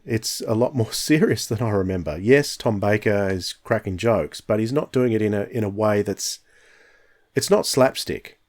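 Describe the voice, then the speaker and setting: A man's voice: fairly low pitch, moderate pace, darkish tone. A man in his fifties hosting a podcast, talking in a reflective, enthusiastic way with frequent restarts. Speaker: podcast host. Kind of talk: casual podcast discussion.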